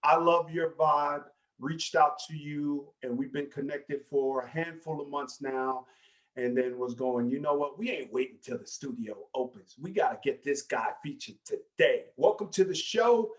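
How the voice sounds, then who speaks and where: A male podcast host giving an energetic, hype-style introduction to his guest. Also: a little high, unhurried. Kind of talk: enthusiastic show intro and interview.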